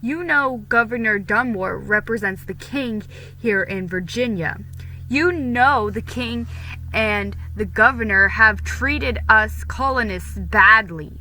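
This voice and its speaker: A woman puts on a hot-headed young colonial man from a storybook. The delivery is forceful and accusing, insistent on every point, in a bright, tense voice. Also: on the high side, takes it slowly, slight background noise, rough recording. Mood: angry. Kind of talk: dramatic storybook reading.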